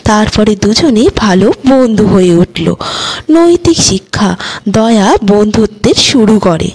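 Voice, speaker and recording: A woman reading short children's moral stories as scripted narration, in a measured, deliberate storytelling manner. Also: mid-range voice, lively intonation, darkish tone, husky. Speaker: storyteller and channel host.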